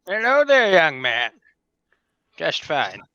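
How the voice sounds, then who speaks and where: A man's voice: a little high, wildly swooping pitch, takes it slowly, pushed and bright, smooth, dry recording, dull audio, full character voice. A man playing a crusty, wary elderly adventurer in a tabletop game, dry, folksy and teasing. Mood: brisk, sardonic. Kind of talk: tabletop roleplay.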